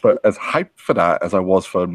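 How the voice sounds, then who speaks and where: A man's voice: low-pitched, lively intonation, normal speed, dry recording. A man on a podcast panel, chatting casually about films. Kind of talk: casual film chat.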